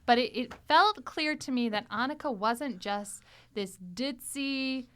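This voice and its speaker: A woman hosting a casual panel chat, an animated storyteller who acts out the lines she quotes. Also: sits high, measured pace, clean tone. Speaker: podcast host and discussion leader.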